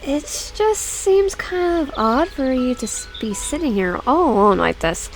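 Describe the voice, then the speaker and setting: A woman voicing a charming but unsettling young man, speaking softly and asking prying questions. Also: sits high, animated, unhurried, soft background music, rough recording. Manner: soft, coaxing, prying questions.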